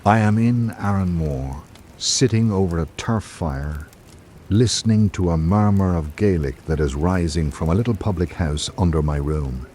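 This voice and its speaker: A man hosting and narrating in a scripted old-time radio drama style, with dramatic, measured delivery. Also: low-pitched, darkish tone, slight background noise.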